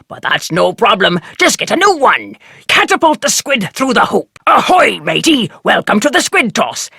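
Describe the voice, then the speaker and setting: A performer voicing a cheery, piratical carnival game announcer with a raspy voice and jaunty, theatrical showmanship. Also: medium pitch, pushed and bright, no room sound, studio quality, heavily acted. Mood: chirpy. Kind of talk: scripted arcade game announcements.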